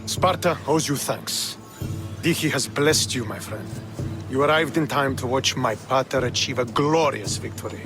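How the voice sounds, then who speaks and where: An actor playing a stern, proud young Spartan military commander in a video game, speaking formally and with earnest authority. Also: male voice, medium pitch, moderate pace, close to an everyday voice. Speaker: video game character, army officer. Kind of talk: dramatic video game cutscene acting. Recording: game soundtrack music behind the voice.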